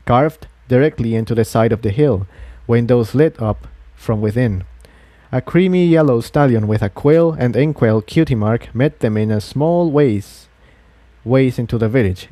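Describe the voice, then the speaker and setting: A man narrates an adventure story from a script. His voice sits at an ordinary middle pitch. The recording is dry and close, with almost no room to it. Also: warm tone, dry recording. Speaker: fan fiction narrator.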